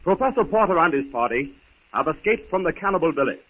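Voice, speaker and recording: A man's voice: on the high side, very animated, quick pace, breathy edge. A man narrating a 1930s radio serial as its announcer, dramatic and formal. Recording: tinny, noisy 1930s radio recording.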